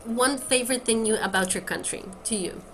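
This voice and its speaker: A woman's voice: a little high, brisk, background noise. A woman interviewer asking short questions and giving brief backchannel replies. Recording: music clearly underneath.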